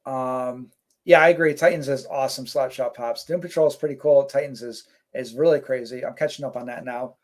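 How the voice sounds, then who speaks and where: Male co-host, mid-pitched, easygoing, reads chat aloud, filler-heavy. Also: a little fast. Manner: relaxed, rambling, many fillers. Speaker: co-host reading live chat. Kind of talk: casual live-stream chat.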